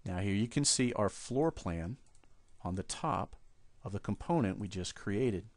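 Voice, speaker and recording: Low-voiced man walking through software steps. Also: measured pace, no room sound. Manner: steady step-by-step explaining. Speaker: software trainer. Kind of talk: screen-recorded software tutorial. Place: at a computer, voice-over of screen.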